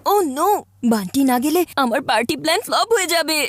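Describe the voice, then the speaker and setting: A woman's voice: high voice, expressive, fast talker, a bit bright, slight background noise. A woman voicing a scheming, eager-to-please schoolboy in a children's cartoon, with a theatrical, wheedling delivery. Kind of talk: children's cartoon dub acting.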